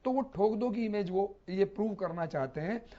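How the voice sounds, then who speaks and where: A man giving unscripted political commentary as a panel analyst, explaining haltingly with hesitations and repetitions. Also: high voice. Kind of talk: unscripted television debate commentary. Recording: slightly dull, lo-fi broadcast audio.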